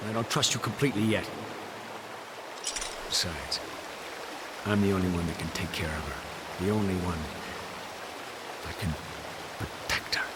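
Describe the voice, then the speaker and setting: An actor playing a gruff, distrustful big swordsman in a video game, speaking in a terse, guarded way. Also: low voice, unhurried, pauses often, heavy background noise. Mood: guarded, curt. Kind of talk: dramatic video game voice acting.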